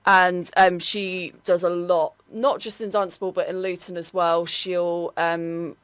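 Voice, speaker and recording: A woman's voice: lowish voice, no echo. A woman presenting a local radio show, talking in a chatty way with plenty of ums and likes. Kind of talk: casual radio chat.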